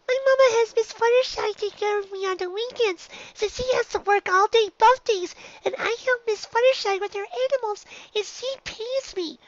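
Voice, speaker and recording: Squeaky, very high little filly pony. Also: female voice, moderate pace, few pauses, dull audio. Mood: flustered, defensive. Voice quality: squeaky, childlike. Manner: stammers when flustered. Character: small earnest young filly pony, proud and defensive.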